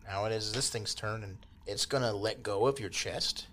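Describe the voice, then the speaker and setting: A man's voice: fairly low pitch, moderate pace, a bit bright, slight background noise. A man acting as game master, casually improvising narration and explaining rulings in an easygoing, conversational way. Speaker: game master of a tabletop role-playing podcast.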